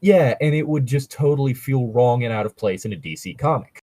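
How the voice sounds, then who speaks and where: A man's voice: mid-range voice, animated, a little fast, warm tone, no echo. A man co-hosting a comedic fan fiction read-aloud, chatting casually in a sweary, exasperated, sarcastic way, close to the microphone. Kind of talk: casual chat mixed with mocking read-aloud.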